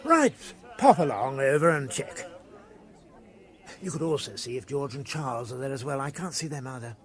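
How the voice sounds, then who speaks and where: An actor playing an excitable, put-upon, slightly pompous English gentleman in a radio comedy. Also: mid-range voice, moderate pace, a faint crowd, close to an everyday voice. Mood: weary, condescending. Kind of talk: British radio comedy acting.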